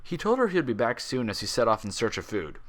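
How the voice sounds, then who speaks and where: A man's voice: mid-range voice, big pitch swings, quick pace, a bit bright. A man reading a fan fiction story aloud in dramatic audiobook-style narration.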